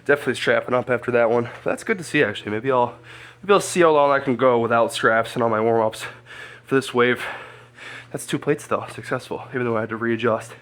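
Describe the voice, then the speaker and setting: A congested man, a strength coach, chatting casually through a training vlog and thinking out loud. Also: mid-range voice, fast. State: congested, stuffy nose.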